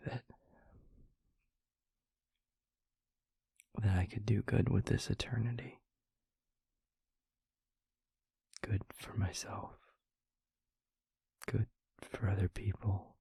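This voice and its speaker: Whispering, gravelly, haunted vampire boyfriend acted by a man. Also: sits low, no room sound, full character voice. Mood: haunted, sorrowful. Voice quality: breathy, husky near-whisper. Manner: halting, hushed, earnest delivery with heavy pauses. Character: tender, haunted male vampire boyfriend confessing past despair. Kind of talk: intimate ASMR-like audio roleplay.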